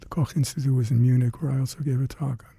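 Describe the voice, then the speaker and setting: Older male interviewee whose first language is Hungarian: gravelly, husky, reflective. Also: medium pitch, fairly even intonation, mellow, dry recording. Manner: halting, reflective, many fillers and restarts. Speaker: interviewee, retired scientist. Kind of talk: oral history interview.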